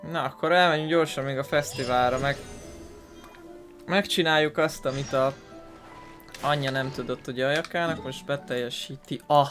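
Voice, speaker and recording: A man's voice: medium pitch, steady tone, fairly quick, long pauses, fairly bright tone. A man giving casual let's play commentary as he plays a video game. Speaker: gaming video maker. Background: game music.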